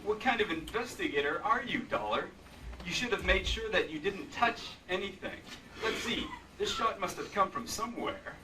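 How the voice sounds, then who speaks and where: An actor playing an officious, brusque young police sergeant who badgers people, in an old-time radio drama recorded live on stage. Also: male voice, high voice, expressive, moderate pace. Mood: brusque, irritated. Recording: distant live stage recording, faint noise.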